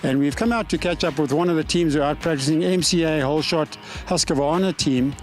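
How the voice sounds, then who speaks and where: A man's voice: on the high side, fairly quick, soft background music. A man conducting an on-location interview.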